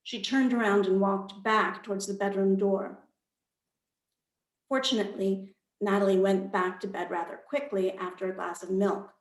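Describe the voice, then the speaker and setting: A woman's voice: medium pitch, fairly even intonation, normal speed. A woman author speaking at a literary event, reading her fiction aloud and talking about her work. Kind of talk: reading own fiction aloud, then panel talk. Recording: roomy echo.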